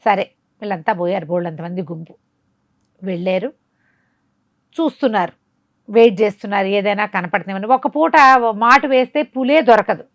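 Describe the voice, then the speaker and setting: A woman telling a bedtime tale in an animated, conversational way, dramatically and with rhetorical questions. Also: medium pitch, moderate pace, pauses often, no room sound, studio quality. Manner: dramatic, asks rhetorical questions as she narrates. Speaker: storyteller.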